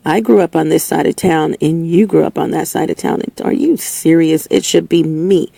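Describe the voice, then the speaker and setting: A woman hosting her own opinion segment, talking in an emphatic, preachy way and often restarting and repeating herself. Her voice is slightly hoarse and lower than usual. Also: normal speed, dark tone, no room sound. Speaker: host of a spoken opinion segment. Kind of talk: casual opinionated monologue. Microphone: speaking into a recording setup with a level meter.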